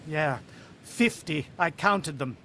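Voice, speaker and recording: Actor as shaken family man, lively pitch. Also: vocal fry, slight background noise, exaggerated voice. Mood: shaken, protective. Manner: hesitant, halting when explaining himself. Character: shaken, earnest family man and farm hand, protective father. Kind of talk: radio drama acting and first-person narration.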